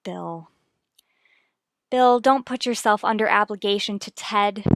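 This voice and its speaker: A woman playing a worried, firm mother with a measured, formal manner in a radio soap opera. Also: medium pitch, creaky, a bit bright, no room sound, clean studio sound. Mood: insistent.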